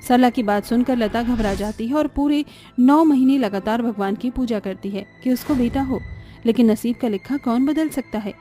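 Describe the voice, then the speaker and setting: A woman's voice: on the high side, normal speed, warm tone, faint music behind. A woman narrating a scripted family story as its storyteller.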